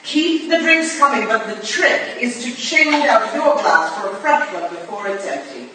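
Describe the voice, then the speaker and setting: A woman's voice: on the high side, animated, a little slow, bright, forceful tone. A woman playing a stern, exacting Victorian aunt in a staged play reading, curt, dry and commanding. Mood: dry, instructing. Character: stern, exacting Victorian aunt who schemes covert operations. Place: live theatre reading in a reverberant room. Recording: distant, very echoey hall, faint noise.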